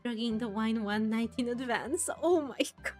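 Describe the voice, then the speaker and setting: High-voiced woman giving casual game commentary. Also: normal speed, a little mellow, faint music behind. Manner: reactive, often amused, musing aloud. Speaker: gameplay streamer.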